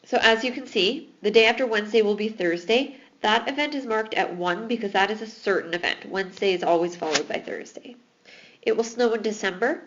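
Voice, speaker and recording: A woman's voice: medium pitch, brisk, fairly bright tone, highs cut off. Patient teacher woman losing her voice. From Alberta, Canada. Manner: patient, explanatory. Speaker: math teacher. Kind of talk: recorded classroom lesson.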